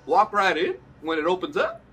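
A middle-aged man playing an eager, nervous, dim schemer in an improvised soap opera, halting and conspiratorial. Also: high voice, wildly swooping pitch, fairly bright tone, husky, exaggerated voice. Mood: excitable, nervous.

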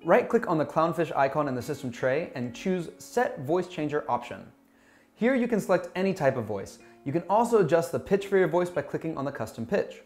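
A man in his thirties giving a scripted software tutorial in an upbeat presenter's manner, speaking into a lapel mic in a small office. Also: fairly high pitch, very animated, a little fast. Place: small bright office, indoors. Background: background music.

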